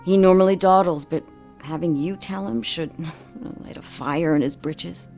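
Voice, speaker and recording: A cop's anxious wife for a game: lowish female voice, plainspoken and weary. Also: unhurried, a little mellow, soft background music. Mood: anxious, softer. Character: worried, frank wife of missing policeman, a mother. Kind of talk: scripted video game acting.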